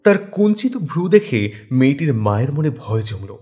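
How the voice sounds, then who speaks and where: A man's voice: mid-range voice, big pitch swings, normal speed, warm tone. A man giving a scripted narration of a story. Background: background music.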